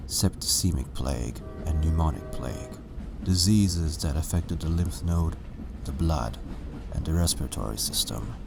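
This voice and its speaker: Deep-voiced male story narrator. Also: creaky. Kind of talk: scripted fan fiction narration.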